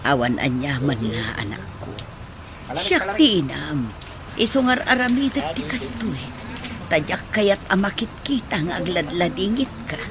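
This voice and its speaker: A woman's voice: on the low side, big pitch swings, measured pace, a crowd in the background. An actress playing an older mother, tenderly protective and full of advice, in a radio drama. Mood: consoling. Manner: tender, advising. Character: older mother, fussing and protective of grown child.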